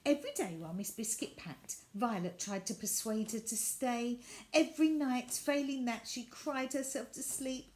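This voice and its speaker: A woman reading a children's storybook aloud as an expressive narrator. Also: fairly high pitch, moderate pace. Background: added sound effects and faint noise.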